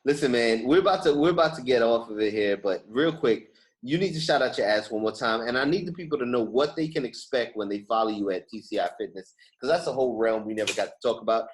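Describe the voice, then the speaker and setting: A man's voice: mid-range voice, fast talker. A man hosting a casual podcast chat, speaking effusively and emphatically and often repeating his phrases. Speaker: podcast host.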